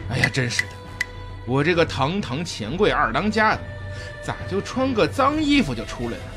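An actor playing a whiny, boastful second-in-command shopkeeper in a comic radio play, with melodramatic, drawn-out wailing. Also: male voice, a little high, sing-song. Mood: flustered, showy. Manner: melodramatic, sing-song wailing with drawn-out words. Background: music behind the voice.

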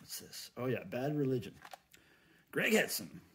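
A man's voice: mid-range voice, wildly swooping pitch, unhurried, fairly bright tone. A middle-aged American man giving casual, folksy unboxing commentary as a host, thinking aloud as he goes. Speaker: host of a cassette unboxing video. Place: indoors at a tabletop. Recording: clear crinkling and handling noise. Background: plastic wrap crinkling, cases clicking.

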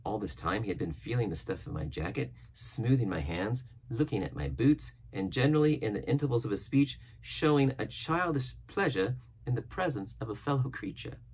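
A man's voice: lowish voice, expressive, brisk, few pauses, a little mellow, husky. A middle-aged man reading an adventure novel aloud with expression. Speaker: storybook reader.

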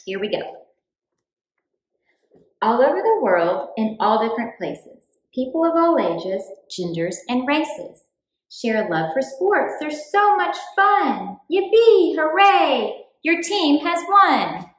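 A woman reading a children's book aloud in an expressive, deliberate storytime style for young children. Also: high-pitched, reverberant, low-quality recording. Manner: clear, deliberate, animated delivery for young children.

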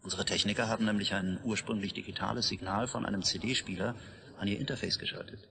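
An actor playing a formal, reassuring institute scientist in a radio drama, explaining in a gravelly voice with an upbeat manner. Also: male voice, fairly low pitch, fairly even intonation, fast. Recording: noisy ambient background.

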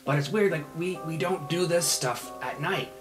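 A man's voice: a little high, music in the background, pitched above the performer's own voice, lightly acted. A man playing an insistent, protective student janitor. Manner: halting, insistent, colloquial. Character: blustering male student janitor, protective, confrontational.

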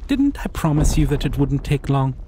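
A man voicing a shy, caring male dragon in an ASMR roleplay, talking softly and reassuringly. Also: mid-range voice, big pitch swings, background noise, lightly acted. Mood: gentle. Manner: soft, reassuring, slow and hesitant. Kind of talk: ASMR roleplay audio drama.

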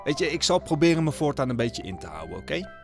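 A man's voice: fairly high pitch, big pitch swings, fast talker, soft background music. An actor playing a sheepish young village man, hesitant and bashful, in a radio drama. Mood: apologetic.

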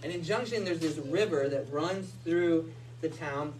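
A man in his thirties preaching a sermon in a small church hall, talking with lively, animated storytelling. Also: on the high side, unhurried. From United States. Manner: animated storytelling with hand gestures. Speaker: pastor. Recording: distant mic, faint room noise.

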